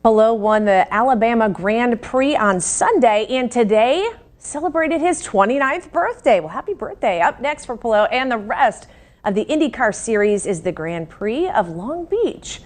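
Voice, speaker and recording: Female news anchor, American, higher-pitched voice, scripted local newscast delivery.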